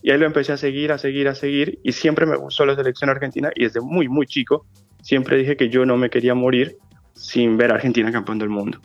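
A man's voice: mid-range voice, level tone, a little fast, no echo, soft background music. Give me a Colombian man in his thirties talking casually on a dull phone line. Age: 30s. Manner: friendly, rambling, with many fillers and restarts. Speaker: phone-in guest. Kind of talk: casual radio interview.

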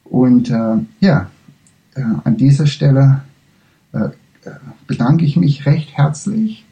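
A man giving a reflective spiritual lecture, halting and searching for words, with frequent restarts, in a language he says he does not speak fully fluently. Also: mid-range voice, lively intonation, gravelly, mellow, low-quality recording.

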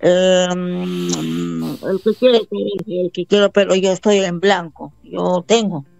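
A woman from Bolivia taking part in a call-in conversation, talking in halting, repetitive phrases with affectionate warmth. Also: on the low side, fairly even intonation, creaky, no room sound, dull audio, soft background music. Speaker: caller. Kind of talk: phone-in conversation.

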